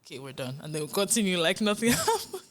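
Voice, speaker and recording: A young Nigerian woman, a musician, talking in a casual interview, animated and earnest, often laughing. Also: medium pitch, fast talker. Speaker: musician guest.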